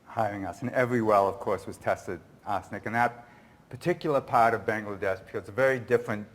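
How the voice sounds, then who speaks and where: Man, low voice, conference Q&A answer, earnest, rambling, self-correcting. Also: fast. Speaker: conference speaker.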